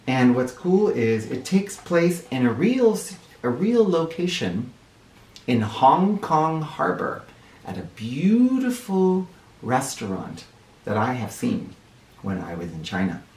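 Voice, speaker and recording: A man reads a children's storybook in a roomy recording, a little away from the mic. His delivery is unhurried and very expressive, like a teacher with a class. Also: medium pitch. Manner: animated, with explanatory asides. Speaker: teacher reading aloud to children. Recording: roomy sound, distant microphone.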